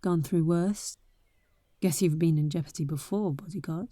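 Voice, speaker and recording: Bold young adventurer played by a woman for roleplay audio drama: soft, dark tone, very dry close sound, teasing and impatient yet caring. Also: lowish voice, normal speed, no echo, lightly acted. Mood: curious, teasing.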